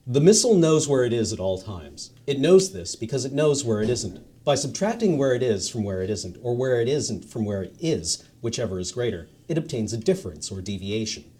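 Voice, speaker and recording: A man playing a dry, matter-of-fact narrator of a technical instructional recording, speaking formally and in measured phrases. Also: medium pitch, very animated, warm tone, natural voice. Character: dry instructional narrator explaining missile guidance.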